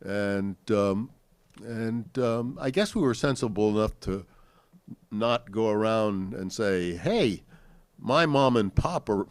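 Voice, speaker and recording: An older man with an American accent recounts family memories to an audience in a halting, deliberate, reflective way. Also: fairly low pitch, lively intonation, no room sound. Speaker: panel speaker sharing family recollections. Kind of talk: unscripted storytelling to an audience.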